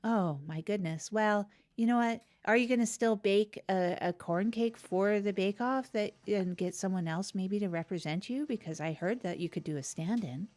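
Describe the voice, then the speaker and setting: A woman's voice: medium pitch, moderate pace, few pauses, darkish tone, natural voice. A woman playing a supportive, earnest small-town public-access host in improvised comedy, with a folksy, reassuring manner. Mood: earnest concern. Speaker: podcast host. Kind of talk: improvised comedy podcast banter.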